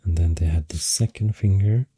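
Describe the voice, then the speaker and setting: A man with a Swedish accent doing soft-spoken, often whispery ASMR, explaining things in an unhurried, hesitant ramble close to the microphone. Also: deep voice, slightly rough, dry recording. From Sweden. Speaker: ASMR creator. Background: pencil writing and clicking sounds.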